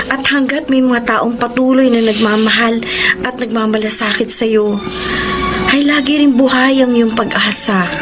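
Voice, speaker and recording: An actress playing a devoted young woman in love in a radio drama, speaking with earnest, tender, emphatic feeling. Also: on the high side. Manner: earnest, emotional, drawn-out emphatic delivery. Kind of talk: Filipino radio drama acting.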